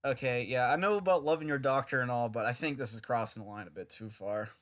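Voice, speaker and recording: A man's voice: mid-range voice, quick pace, a crowd in the background. A young man reviewing an old anime dub in a casual, sarcastic, rambling way.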